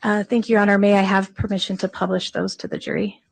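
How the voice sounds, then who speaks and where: A woman prosecutor questioning a witness in a courtroom, soft-spoken and polite. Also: mid-range voice, very animated, fast talker, creaky.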